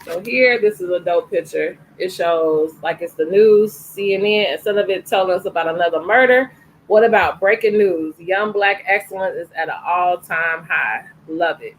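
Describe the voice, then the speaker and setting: A woman's voice: lowish voice, moderate pace, a little hiss or hum, poor audio. A woman hosting an online story time, recapping each page in a casual, encouraging way. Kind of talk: casual read-aloud with commentary.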